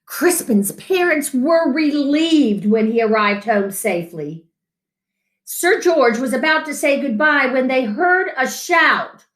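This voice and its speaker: A middle-aged woman tells a children's story at a very slow pace. Her expressive reading rises and falls widely, in an echoey room. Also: high-pitched. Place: wood-panelled living room, indoors.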